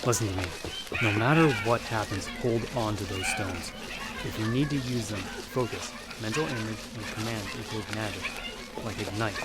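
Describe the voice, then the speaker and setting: A man playing a watchful bounty hunter in an audio roleplay, explaining things to his companion in a measured, slightly formal way. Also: lowish voice, very noisy, natural voice. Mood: urgent. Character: calm, watchful male bounty hunter with magical wards. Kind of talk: ASMR audio roleplay acting, speaking to the listener as companion.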